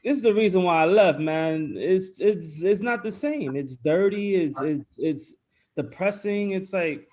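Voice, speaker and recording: A man with a New York accent tells stories animatedly on a casual panel, his voice a touch high. He stumbles and repeats words as he talks. He joins by video call from home. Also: unhurried, a little mellow. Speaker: panel guest. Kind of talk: casual chat.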